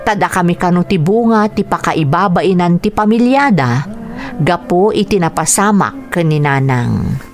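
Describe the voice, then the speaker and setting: A woman playing a patient, caring elder sister in an Ilocano radio drama, speaking gently and earnestly. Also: fairly low pitch, lively intonation, a little slow, faint music behind. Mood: sorrowful, reflective. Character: patient, caring elder sister minding her younger brother. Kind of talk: Ilocano radio drama acting with narrated inner thoughts.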